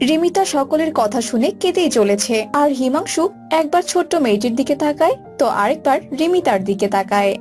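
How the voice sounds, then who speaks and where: A woman's voice: sits high, expressive, brisk, darkish tone. A woman reading scripted narration for a cartoon story. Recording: music bed behind voice.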